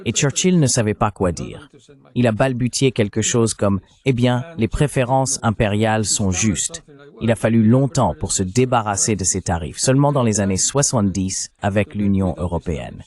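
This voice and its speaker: A man's voice: on the low side, animated, moderate pace, darkish tone. A man delivering a translated voice-over of an interview, explaining things as a commentator.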